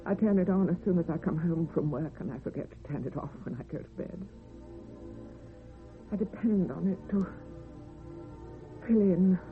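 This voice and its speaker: A woman's voice: on the low side, normal speed, long pauses, soft background music, natural voice. An actress playing a lonely, frightened, ageing woman in a theatrical, tremulous old-time radio horror style. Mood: anxious, brooding. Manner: theatrical, tremulous, talking to herself. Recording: dull vintage radio recording, faint hiss.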